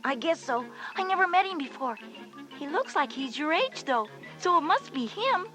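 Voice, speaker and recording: High-voiced chatty big sister. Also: female voice, very animated, normal speed, bright, forceful tone, faint music behind, exaggerated voice. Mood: eager. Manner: explanatory, reassuring, big-sisterly. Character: confident chatty older sister, a schoolgirl. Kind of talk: scripted children's educational audio drama.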